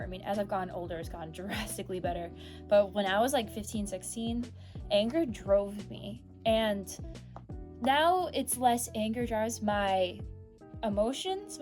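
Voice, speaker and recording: Expressive young woman over background music. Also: mid-range voice. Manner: expressive, animated, swearing, rambling. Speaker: book club vlogger. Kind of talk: casual chat.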